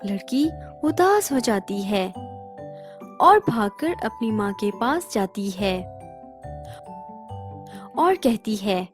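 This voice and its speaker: A woman's voice: mid-range voice, big pitch swings, slow pace, long pauses, faint music behind. A woman narrating a scripted story for children.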